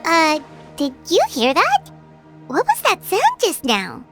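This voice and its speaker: A super high squeaky little fairy sidekick, excitable and bouncy, video game with music under it. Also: female voice, slow pace, heavily acted. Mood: puzzled. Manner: speaks of self in third person, exclamatory. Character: tiny excitable childlike fairy companion girl.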